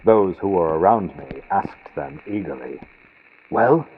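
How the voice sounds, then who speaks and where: A man's voice: deep voice, expressive, a little slow, breathy edge, narrow, tinny audio. A man narrating a gothic horror audiobook from a script, recorded on a built-in computer mic for a vintage radio sound. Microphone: built-in computer microphone.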